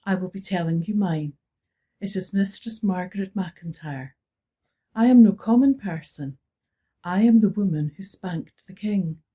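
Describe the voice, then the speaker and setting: A woman voicing a stately old Highland widow with a rich, powerful voice and a lilting Scottish Highland accent. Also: measured pace, dark tone, breathy edge.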